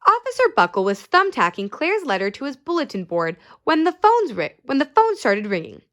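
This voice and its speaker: Woman, high pitch, swooping intonation, expressive children's read-aloud, dramatic phrase-by-phrase emphasis. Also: moderate pace. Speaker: storybook reader.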